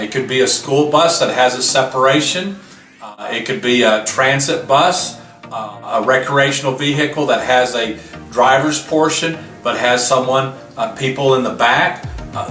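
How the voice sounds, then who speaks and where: A man's voice: mid-range voice, fairly bright tone. A man giving a plainspoken, step-by-step product demonstration, often posing a question and answering it himself. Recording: roomy, distant mic, music behind.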